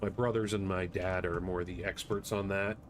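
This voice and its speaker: A man's voice: low voice, fairly even intonation, moderate pace. A man chatting casually and in a rambling, easygoing way while live streaming a video game. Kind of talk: casual chat while gaming. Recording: clear background noise and game ambience.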